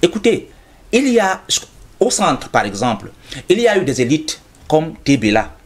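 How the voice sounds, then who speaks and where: A man arguing his point on a studio talk show. His pitch sits a little high and swings widely as he presses on, going back over his own phrases to keep the floor. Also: big pitch swings, normal speed. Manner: insistent, repeats himself to hold the floor. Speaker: studio guest commentator. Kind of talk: television debate.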